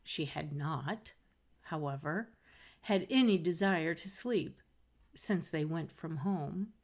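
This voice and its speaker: A woman reading a fairy tale aloud as a storyteller, in a measured, expressive way. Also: sits low. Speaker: storybook reader. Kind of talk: scripted read-aloud narration of a fairy tale.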